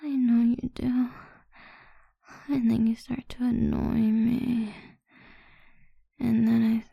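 A woman voicing a cruel, possessive and controlling mistress, talking slowly in a breathy, taunting whisper. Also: fairly high pitch, level tone, warm tone, no echo, heavily acted. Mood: cold. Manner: slow, taunting and degrading. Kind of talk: whispered audio roleplay.

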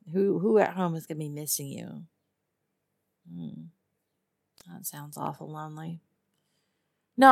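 A woman playing a tough, teasing lizard-person huntress in an immersive audio roleplay, with a Texas Southern accent and a sardonic drawl full of musing pauses and hums. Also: low voice, vocal fry, no room sound, clean studio sound, heavily acted. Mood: wistful. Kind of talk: one-sided immersive audio roleplay to a captive listener.

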